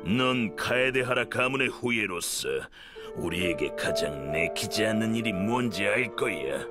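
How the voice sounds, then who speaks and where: Grandiose sword-spirit villain, wildly swooping delivery. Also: male voice, on the low side, animated, measured pace, loud music behind the voice. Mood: proud, bitter. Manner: theatrical, scornful, grandiose monologue. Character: proud, vengeful possessed sword spirit villain, contemptuous and grandiose. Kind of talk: anime-style video game voice acting.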